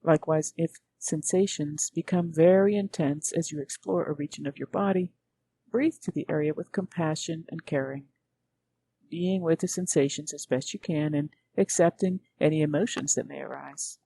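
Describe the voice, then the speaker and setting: A woman guiding a meditation as a teacher, speaking gently in measured, unhurried phrases with pauses. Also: low voice, gravelly, a little hiss or hum, low-quality recording. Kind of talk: guided meditation narration.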